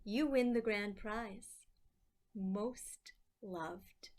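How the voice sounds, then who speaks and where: A woman reading the part of a caring, upbeat little girl in a picture book, with a gentle, encouraging, expressive delivery. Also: medium pitch, extremely slow. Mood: reassuring.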